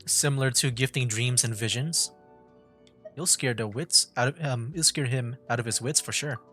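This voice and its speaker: Relaxed male game streamer, casual asides, faint music behind. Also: medium pitch, normal speed. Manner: relaxed, offhand, chuckles at the story. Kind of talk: casual gameplay commentary. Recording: mic voice over game music.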